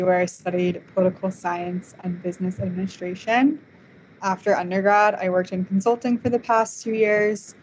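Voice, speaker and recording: A young woman from Switzerland, raised in the US, introducing herself clearly and composedly as an interview guest. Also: mid-range voice, darkish tone, slight background noise, rough recording. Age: young adult. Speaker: guest, fellow.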